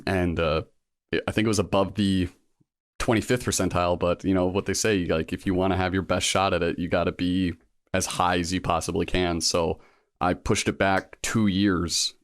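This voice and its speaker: A man's voice: deep voice, flat delivery, normal speed, dry recording, studio quality. A man in his early thirties, a guest in a casual interview, talking earnestly and rambling with lots of fillers. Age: 30s. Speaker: guest, law school applicant.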